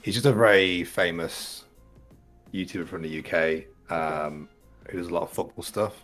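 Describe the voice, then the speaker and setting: Deep-voiced wry British man. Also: moderate pace, a bit bright, faint music behind. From Britain. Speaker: co-host. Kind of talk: casual live stream chat.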